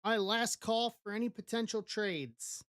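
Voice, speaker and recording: A woman's voice: a little high, moderate pace, dry recording, studio quality. A woman with an East Coast American accent hosting a live card break stream, reading out team assignments and calling trades in short bursts.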